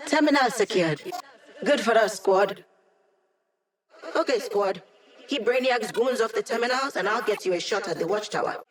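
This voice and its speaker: A cheeky young female hacker played by a woman guides a video game squad as their digital helper. Her delivery is breezy and wry, with a teasing, techy wit and a mid-range pitch. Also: normal speed, pauses often, natural voice. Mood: focused, eager. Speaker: mission support character in a video game.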